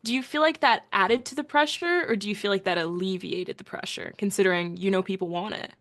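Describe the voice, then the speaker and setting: A woman co-hosts a casual music podcast, asking questions with an upbeat, easy manner. Her voice sits at a middle pitch. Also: a bit bright. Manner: upbeat, quick affirmations. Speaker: podcast co-host.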